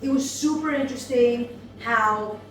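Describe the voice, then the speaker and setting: A woman who owns a business, giving a thoughtful testimonial with many ums and pauses. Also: a little high. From United States. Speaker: seminar attendee, business owner. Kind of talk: testimonial interview. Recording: echoey room, mic far off, music behind.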